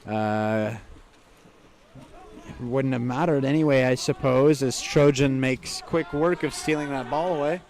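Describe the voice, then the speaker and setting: Male play-by-play commentator for live sports coverage, medium pitch. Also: steady tone, measured pace, a little mellow. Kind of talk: play-by-play water polo commentary.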